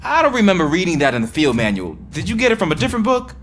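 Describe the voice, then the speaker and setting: A man's voice: sits high, wildly swooping pitch, very fast, a bit bright, exaggerated voice. An actor playing an eager, chatty young male rookie cop in a video game, with a casual, wry way of talking.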